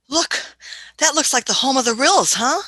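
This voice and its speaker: A woman's voice: high voice, animated, slow, pushed and bright, no room sound, exaggerated voice. A woman playing an elderly, fussy scientist-adventurer in a radio play, musing and dithering with repeated words and little questioning hums. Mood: curious. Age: older.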